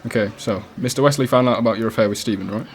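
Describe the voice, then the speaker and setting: A man's voice: lowish voice, rapid-fire, slight background noise, natural voice. A man with a British accent playing a casual but persistent police detective in a radio play, questioning politely and insistently. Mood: polite, pressing. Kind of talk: student radio drama acting. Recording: cafe crowd chatter behind.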